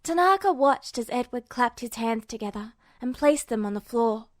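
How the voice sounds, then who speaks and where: A woman with a fairly high voice narrates a story from a script. She reads as an audiobook-style narrator in a close, dry recording. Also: lively intonation, normal speed, dry recording.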